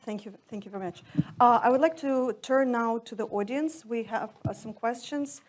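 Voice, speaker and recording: A woman's voice: fairly high pitch, moderate pace. A woman moderating a panel, politely handing the floor to the audience with brief remarks and a few fillers.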